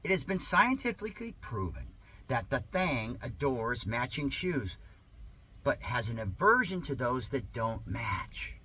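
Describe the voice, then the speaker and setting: A man performs a satirical reading with heavy sarcasm and mock-earnest emphasis. His voice is bright and forceful, swinging animatedly in pitch. A faint layer of noise sits behind the homemade recording. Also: very animated, a little slow. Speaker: reader of a satirical article.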